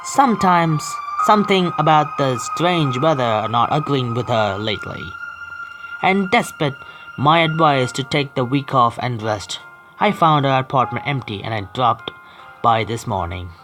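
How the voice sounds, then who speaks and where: Man reading game text aloud, halting. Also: medium pitch, animated, rough recording. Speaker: gaming video host. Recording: faint game music behind. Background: faint video game music.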